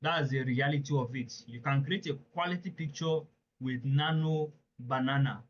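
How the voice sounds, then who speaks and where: A man's voice: medium pitch, moderate pace, highs cut off, a little hiss or hum. A man presenting a live webinar, talking earnestly and emphatically, often turning to listeners with direct questions.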